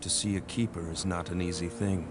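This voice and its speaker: Actor as a deep-voiced solemn old mentor. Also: male voice, steady tone, background noise, close to an everyday voice. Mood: solemn, patient. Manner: measured, formal, instructive. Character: calm, solemn older male secret-order mentor.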